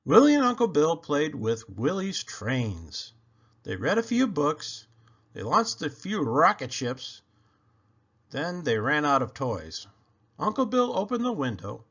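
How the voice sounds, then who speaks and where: Very animated man reading a storybook. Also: fairly high pitch, a little slow, husky, muffled recording. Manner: expressive, emphatic. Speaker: children's book reader. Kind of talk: animated storybook read-aloud narration.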